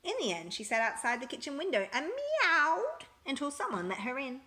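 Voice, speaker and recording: Animated woman reading a children's story. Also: high-pitched, moderate pace, slight background noise. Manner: expressive, emphatic storytelling. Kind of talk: read-aloud children's picture book narration.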